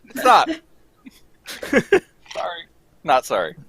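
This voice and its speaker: Lively, dryly joking man. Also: a little high, drawn-out words, creaky, crowd noise. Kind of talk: casual chat.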